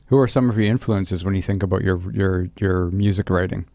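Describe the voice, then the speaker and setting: A man's voice: deep voice, a little fast, warm tone, no echo. A Canadian man hosting a casual radio interview, asking questions conversationally. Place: radio studio.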